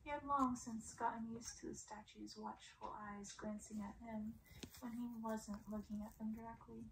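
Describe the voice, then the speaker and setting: Creaky, hushed woman reading a story, ASMR style. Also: on the high side, steady tone, normal speed, noisy background. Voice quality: soft, breathy whisper at times. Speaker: ASMR reader.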